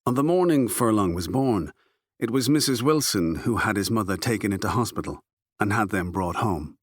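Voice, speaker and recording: A man's voice: fairly low pitch, lively intonation, normal speed, dry recording. A man reading literary fiction as an audiobook narrator.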